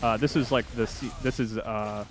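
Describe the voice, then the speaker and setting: A man's voice: mid-range voice, steady tone, normal speed. Guy chatting over gameplay, into it, explaining stuff casually, music under him. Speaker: video game journalist, host.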